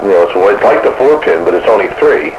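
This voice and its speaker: A man's voice: lowish voice, big pitch swings, fast, gravelly. A man chatting casually as a radio hobbyist during an on-air CB round table. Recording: noisy, muffled CB radio audio.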